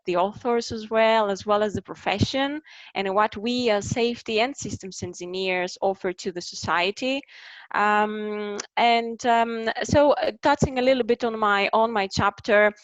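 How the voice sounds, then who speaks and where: A woman's voice: medium pitch, no echo. A woman in her thirties with a Greek accent, moderating an online book launch, hesitant with many fillers. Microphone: video call. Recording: dull lo-fi video call audio.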